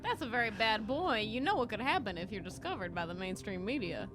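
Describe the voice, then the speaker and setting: A woman's voice: mid-range voice, expressive, fairly quick, noisy background. A woman playing a tabletop role-playing game, improvising conversation in character. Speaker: tabletop role-play player.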